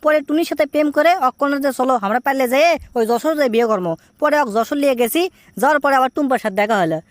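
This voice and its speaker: Man voicing a very fast, high-pitched cheeky cartoon friend, Bogura accent. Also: no echo. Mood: boastful. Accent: Bogura, Bangladesh. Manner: teasing, brash, rambling. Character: cheeky, boastful young man scolding his lovesick friend. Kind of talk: comic cartoon dialogue.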